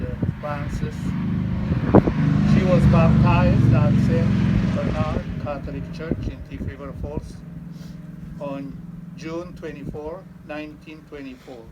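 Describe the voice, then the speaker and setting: Man, slow deliberate reading with pauses, heavy background noise. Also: on the high side, far from the mic, lo-fi sound. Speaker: family member reading an obituary. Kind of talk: reading aloud.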